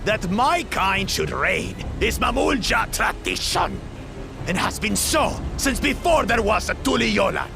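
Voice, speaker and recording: An actor playing an arrogant, hulking male reptilian warrior who mocks a crowd with a gravelly, taunting voice in a video game cutscene. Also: sits high, sing-song, full character voice. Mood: sneering. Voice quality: gravelly, sneering. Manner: mocking, taunting, slow and drawn out. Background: game score music.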